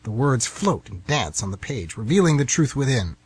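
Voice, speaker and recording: A man's voice: mid-range voice, big pitch swings, moderate pace, breathy edge. A man playing a pompous, easily offended would-be novelist in his fifties in an amateur radio comedy. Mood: indignant, lofty. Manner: pompous, grandiloquent, indignant. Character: pompous, defensive 52-year-old aspiring novelist man.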